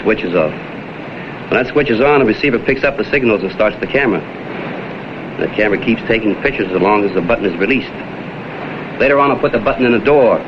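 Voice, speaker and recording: An American actor playing a cool, wisecracking private investigator in a vintage detective drama, with clipped, deadpan delivery. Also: male voice, fairly low pitch, fast talker, long pauses, natural voice. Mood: matter-of-fact. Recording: muffled old film soundtrack, noisy.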